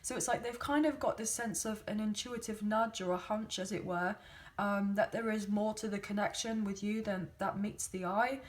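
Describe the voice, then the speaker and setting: A woman with a slightly croaky, hoarse voice, giving a chatty tarot reading into a phone, with frequent fillers. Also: medium pitch, steady tone, moderate pace, few pauses. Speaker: tarot card reader.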